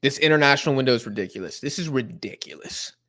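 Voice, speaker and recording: A man's voice: mid-range voice, fast talker. A young man hosting a casual football watch-along live stream, reading chat messages and rambling in slang with lots of fillers. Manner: slangy and rambling, lots of fillers, sudden excited outbursts at the match. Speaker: live stream host reacting to a football match.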